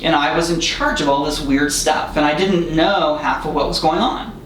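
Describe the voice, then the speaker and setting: A man being interviewed as a guest, telling stories in an excited, rambling way with frequent self-corrections. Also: fairly high pitch, moderate pace. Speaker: interview guest, film director and horror convention panel coordinator. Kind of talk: casual studio interview, storytelling. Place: echoey podcast studio. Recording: roomy, distant mic with faint noise.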